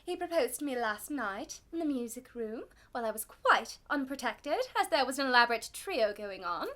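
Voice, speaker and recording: A woman's voice: fairly high pitch, animated, normal speed, sharp tone. A woman performing a witty, pampered young society lady in a comic stage monologue, with an arch, mock-indignant manner and formal phrasing. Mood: exasperated, arch.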